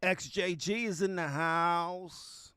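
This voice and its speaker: A man hosting a music reaction stream, chatting casually in a halting, conversational way and often tagging phrases with a check for agreement. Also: high voice, steady tone, pushed and bright, clear, resonant tone, dry recording, studio quality. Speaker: music reaction show host. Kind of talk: casual livestream chat with viewers about music.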